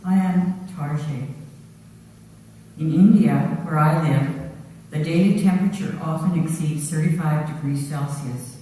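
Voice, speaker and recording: A man's voice: on the high side, mellow, a little hiss or hum, lightly acted. A man playing a struggling farmer and family man, reading earnestly in a church drama. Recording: echoey church from far off.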